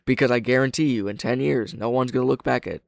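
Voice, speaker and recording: A man's voice: mid-range voice, fast talker, gravelly, no echo. Young guy doing reaction commentary, blunt n opinionated, swears, really dry sound. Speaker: reaction video host and reviewer.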